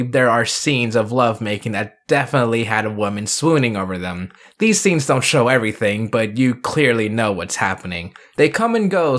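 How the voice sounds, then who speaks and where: Man, video reviewer, scripted commentary, medium pitch, casual delivery, wry asides. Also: fairly bright tone.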